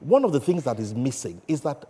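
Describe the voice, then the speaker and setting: Husky middle-aged Nigerian man, lively intonation. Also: medium pitch, a little fast, big-room sound. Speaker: guest, barrister. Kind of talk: panel discussion. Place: TV studio.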